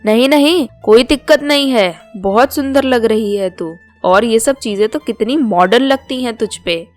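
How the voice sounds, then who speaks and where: A woman's voice: on the high side, moderate pace, natural voice. Older mother-in-law played by a woman, small-town, proud, chatty, insistent, storybook cartoon, music behind. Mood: admiring. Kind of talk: Hindi storybook cartoon dialogue.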